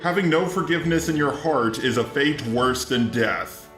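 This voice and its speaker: A man's voice: mid-range voice, expressive, moderate pace, a bit bright, echoey room, faint music behind. A man's synthetic robot voice reading scripted video commentary as the host.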